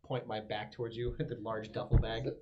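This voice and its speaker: A man's voice: on the low side, little pitch movement, brisk, dull audio, noisy background. A man chatting casually as a player in a tabletop game.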